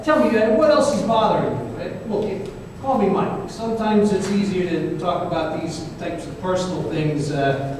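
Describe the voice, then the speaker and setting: A man playing a flustered, worldly confidant in an old-time radio drama, stammering and halting as he talks. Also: high-pitched, a little mellow. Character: flustered, worldly male confidant, informal and reassuring. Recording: echoey hall from far off, faint noise.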